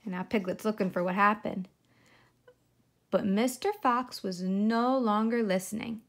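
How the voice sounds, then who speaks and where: A woman's voice: medium pitch, unhurried. A woman reading a children's storybook aloud as a teacher, adding simple explanations of the pictures for young listeners.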